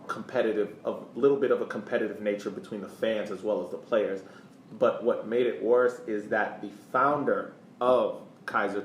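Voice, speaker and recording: A man co-hosting a casual sports history discussion, explaining haltingly and often repeating words. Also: on the low side. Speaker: podcast co-host. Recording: echoey room, faint background noise.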